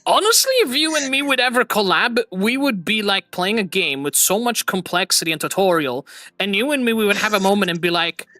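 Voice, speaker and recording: A man's voice: high voice, animated, a little fast, bright, forceful tone, no room sound, clean studio sound. A man hosting a casual stream chat, rambling from one thought to the next and laughing at himself often. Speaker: streamer host.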